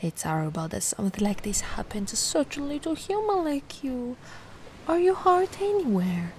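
Woman acting as high-voiced, animated giant mermaid girl. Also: normal speed, slight background noise. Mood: caring. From Italy. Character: giant young female mermaid, cute, anxious, kindly. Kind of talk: ASMR roleplay.

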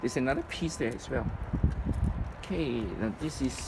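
A man giving an informal, halting item-by-item walkthrough as a presenter at a storage unit. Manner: halting, piecemeal delivery. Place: storage facility, at open unit. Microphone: handheld phone camera, speaker behind it. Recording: noisy handheld recording, traffic-like rumble.

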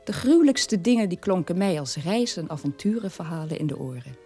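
An actress playing a sober middle-aged daughter of a war criminal, telling her past in a measured radio-play monologue with a husky voice. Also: lowish voice, animated, dark tone, faint music behind. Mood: sober, reflective.

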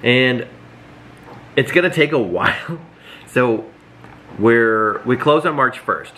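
A man in his thirties giving a quick, upbeat house tour and chatting at home. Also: medium pitch, animated, pushed and bright, a little hiss or hum. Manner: quick, upbeat, rambling with restarts. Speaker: vlog co-host. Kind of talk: casual house tour and chat. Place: living room at home, indoors.

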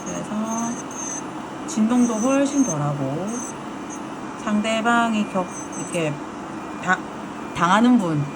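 A woman's voice: medium pitch, animated, slow pace, long pauses, heavy background noise. A woman nail technician with a regional Korean dialect, explaining a drill demo in chatty, explanatory talk. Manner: chatty, explanatory, soft-spoken while working.

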